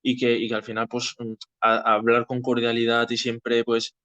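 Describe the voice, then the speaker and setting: A man from hosts a casual discussion. He explains haltingly, feeling for his phrasing, in a middle-pitched voice. The audio is dry and a little dull. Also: monotone, a bit bright, no room sound. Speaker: host of a political audio discussion.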